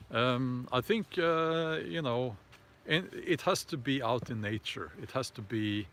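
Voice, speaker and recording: Slow, hesitant Norwegian man. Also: mid-range voice, fairly bright tone, slight background noise. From Norway. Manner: hesitant, halting, many fillers and restarts. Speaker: host answering viewer comments. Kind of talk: casual live chat.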